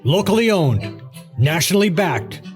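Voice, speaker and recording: Animated higher-pitched man reading ad copy. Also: a little slow, over music. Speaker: advertisement announcer. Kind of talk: scripted ad narration.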